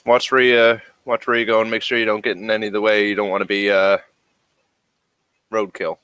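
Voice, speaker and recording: Man playing a low-voiced military private. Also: little pitch movement, sharp tone, clean tone, no room sound. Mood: matter-of-fact. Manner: halting, plain-spoken. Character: gruff military private escorting visitors around a base.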